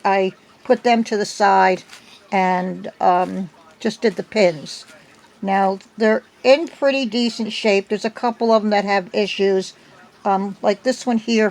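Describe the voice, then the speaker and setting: An older woman with a slightly hoarse voice from a cold, chatting at home into her phone in a meandering way, with many ums. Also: medium pitch, a little mellow. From United States. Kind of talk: casual chat. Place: at home indoors.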